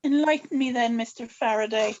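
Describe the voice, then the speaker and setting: A woman's voice: on the high side, a little fast, slight background noise, natural voice. A woman playing a wary, commanding witch grandmother at a dramatic table read, composed, formal and pointed. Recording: slightly dull, lo-fi recording.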